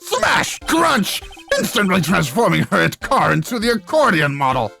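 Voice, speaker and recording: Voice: medium pitch, huge pitch swings, a little slow, gravelly, pushed and bright, faint music behind, exaggerated voice. An amateur dubber voicing an angry, pompous male surgeon who rants indignantly in a video game. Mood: outraged ranting. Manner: indignant ranting with exclamations. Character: angry, pompous, self-important male surgeon, easily outraged.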